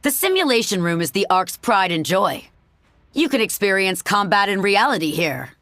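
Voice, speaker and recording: A woman's voice: mid-range voice, very animated, moderate pace, a bit bright, dry recording. A woman voicing a strict, no-nonsense combat coach in a video game, with a stern, clipped, commanding manner. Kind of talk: English video game voice acting.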